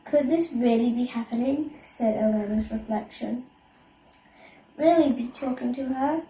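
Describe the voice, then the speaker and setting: A woman's voice: on the high side, unhurried, mellow. A female storyteller reading a children's story aloud. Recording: echoey room, faint background noise.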